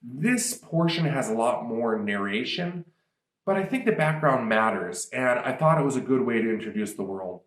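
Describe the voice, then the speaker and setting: A man's voice: mid-range voice, expressive, normal speed, a bit bright. Author type, male, conversational and explanatory, a few ums, recorded in a roomy, echoey space. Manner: casual, explanatory, with frequent ums. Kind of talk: author commentary. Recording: roomy echo.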